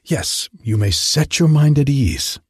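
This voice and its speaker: A man's voice: medium pitch, animated, unhurried, a little mellow, husky, no echo, clean studio sound, voice pushed up. A man reading aloud and voicing a selfish, sanctimonious miller who talks down to his friend. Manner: sanctimonious, condescending. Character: smug selfish male miller, preachy about friendship.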